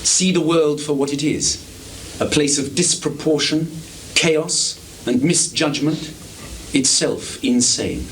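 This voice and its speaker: Slow solemn male stage narrator, hissy recording. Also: a little high. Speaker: actor narrating between scenes. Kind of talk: dramatic scripted narration. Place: small theatre stage, filmed live. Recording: noisy 1980s live theatre recording, steady hiss.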